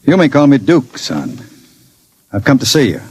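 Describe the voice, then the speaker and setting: An actor playing a suave, scheming criminal, mock-polite and insinuating, in an old-time radio drama. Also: male voice, medium pitch, big pitch swings, moderate pace, darkish tone, breathy edge. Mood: coolly commanding. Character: suave, scheming male criminal, coolly sinister manipulator.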